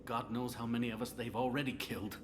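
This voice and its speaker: An actor playing a worried, embittered human refugee in a video game, with a grave, aggrieved delivery. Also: male voice, mid-range voice, flat delivery, fast, noisy background, natural voice. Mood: aggrieved, fearful. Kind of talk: video game dialogue acting.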